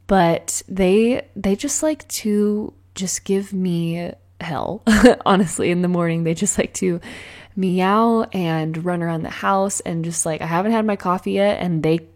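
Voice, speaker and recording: A woman chatting casually while decorating a planner, bubbly and rambling, her voice a little raspy in the morning. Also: on the low side, unhurried, darkish tone. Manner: bubbly, rambling, frequent laughs and filler words. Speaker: planner vlogger. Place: at home with cats meowing.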